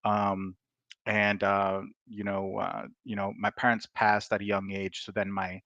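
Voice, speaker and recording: Casting note: male veteran from Rhode Island, low voice, laid-back storyteller, dry mic. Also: unhurried, dry recording, lo-fi sound. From Rhode Island, United States. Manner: relaxed storyteller with many fillers. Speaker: podcast guest, military veteran. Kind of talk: casual conversation.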